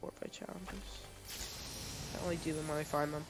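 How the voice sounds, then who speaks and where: A young man, a streamer recovering from a cold, chatting casually and haltingly while he plays, with lots of fillers and restarts. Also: on the high side, level tone, noisy background. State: sniffly, getting over a cold. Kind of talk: casual gaming chat.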